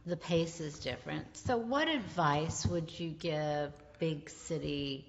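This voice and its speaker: A woman with a New York accent hosting a casual interview, chatty and quick with affirming murmurs. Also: low-pitched, long reverb tail, lo-fi sound. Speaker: podcast host.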